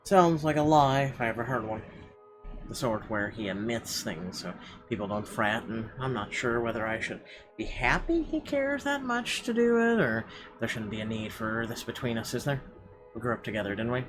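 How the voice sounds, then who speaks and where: A man with a mid-pitched voice reads a visual novel's narration aloud. His delivery is expressive and a little halting, with clear music playing behind him. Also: poor audio. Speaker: game player reading narration aloud.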